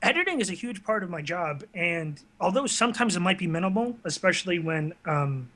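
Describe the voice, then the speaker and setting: Bright-voiced man chatting as interview guest. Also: high-pitched, big pitch swings, brisk. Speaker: guest, online video series creator. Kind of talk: casual interview chat.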